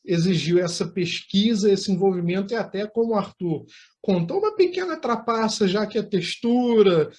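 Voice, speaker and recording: Male Brazilian host, high-pitched, conversational interviewing with formal, elaborate turns of phrase. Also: expressive, normal speed, a little mellow.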